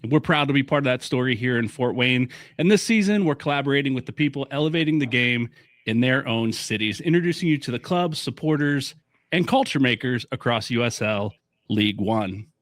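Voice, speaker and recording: A man's voice: mid-range voice, lively intonation, fairly quick, fairly bright tone, no room sound. A man hosting a soccer fan podcast, upbeat and talkative, moving from a scripted intro to friendly chat. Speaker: podcast host.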